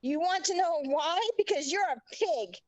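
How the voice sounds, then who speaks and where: A woman's voice: extremely high pitch, lively intonation, moderate pace, a little mellow, no room sound. A woman playing a furious, foul-mouthed wife and mother in a heated, accusing way at a script table read. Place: remote video call rehearsal.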